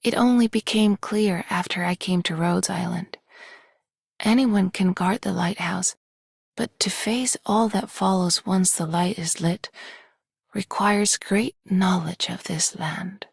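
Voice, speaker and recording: A woman voicing an earnest, reflective lighthouse keeper in a game, thoughtful and hushed. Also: mid-range voice, fairly even intonation, slow pace, vocal fry, breathy edge, no echo, clean studio sound, heavily acted. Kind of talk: scripted game voice acting.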